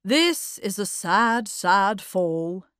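A woman voicing a jocular upper-class gentleman, pompous and declamatory, with a drawl. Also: medium pitch, lively intonation, drawn-out words, smooth, no room sound, clean studio sound. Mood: mock solemn.

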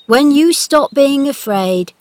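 A woman's voice: high-pitched, animated, slow pace, darkish tone, no room sound, pitched above the performer's own voice. A woman as an earnest, encouraging country boy. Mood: reassuring.

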